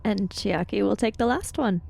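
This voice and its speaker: Female tabletop player, creaky mid-range voice, casual narration of her character's actions. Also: mid-range voice, normal speed, a little mellow, dry recording.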